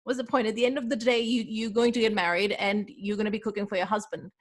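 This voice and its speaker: A woman's voice: medium pitch, flat delivery, quick pace. A woman from Pakistan, a guest on a podcast, chatting casually with frequent laughs and restarts. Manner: chatty, laughs often, many restarts and fillers. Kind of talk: casual interview chat.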